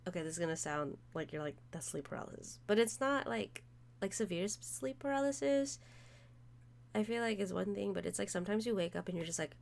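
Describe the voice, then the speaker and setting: A woman's voice: medium pitch, creaky. A young woman streamer, congested from allergies, chatting in a rambling way with many fillers while playing a visual novel. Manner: rambling, filler-heavy, self-interrupting. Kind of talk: casual let's-play commentary.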